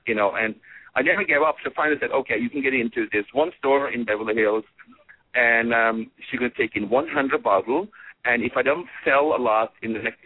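A man's voice: mid-range voice, slightly rough, no echo. Man on a tinny phone line. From Norway. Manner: animated, many fillers and restarts. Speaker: guest, fragrance designer. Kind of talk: casual storytelling in an interview.

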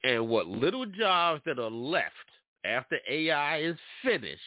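Lively-voiced talk radio host, tinny sound. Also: male voice, a little high, a little slow, no room sound. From New York. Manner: animated, preachy, addresses listeners directly. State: congested from allergies. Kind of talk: casual talk radio monologue. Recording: dry, tinny phone-like sound.

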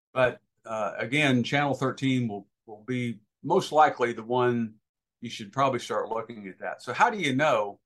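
Man explaining things in a hesitant way. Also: medium pitch. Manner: hesitant, practical, step-by-step. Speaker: guest expert, boating safety educator. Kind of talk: informal explanatory interview answers.

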